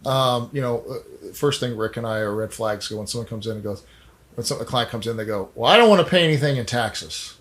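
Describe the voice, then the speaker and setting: A man's voice: medium pitch, brisk, fairly bright tone. A man hosting a casual interview, affirming his guests and speaking in a folksy, blunt way. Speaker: podcast host.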